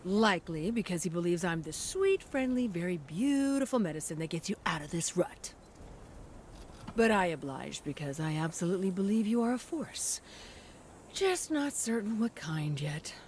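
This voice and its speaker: A game's sly lady trader, playful and teasing, really animated pitch, bit of background noise. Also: moderate pace. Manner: playful, teasing, theatrical phrasing. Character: sly, teasing, warm woman arms trader and friend. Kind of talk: video game cutscene acting.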